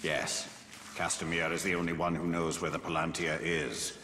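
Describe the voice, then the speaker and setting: An actor playing a cold, ancient elf wraith, grave and commanding, with a gravelly low tone. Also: male voice, level tone, normal speed, slight background noise. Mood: urgent, stern. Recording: loud music behind.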